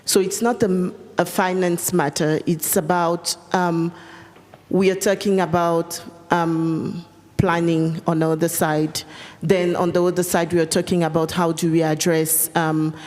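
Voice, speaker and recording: Woman panelist, French first language, lowish voice, earnest and halting, echoey hall. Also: little pitch movement, darkish tone. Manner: earnest, pauses with fillers, repeats for emphasis. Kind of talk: panel discussion answer.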